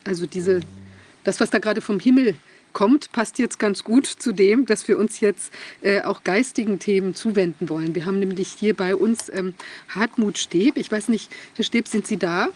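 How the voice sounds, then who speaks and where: A woman's voice: medium pitch, normal speed, darkish tone. A woman hosting an online session, searching for words with many fillers as she introduces her guest. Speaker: host. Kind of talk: hesitant moderating.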